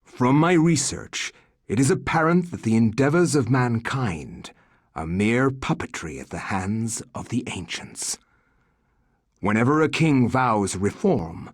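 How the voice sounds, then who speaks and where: Husky, grave scholar narrator. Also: male voice, mid-range voice, expressive, a little slow, dry recording, clean studio sound. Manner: formal, dramatic. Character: grave male scholar narrating dark research. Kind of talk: scripted game narration.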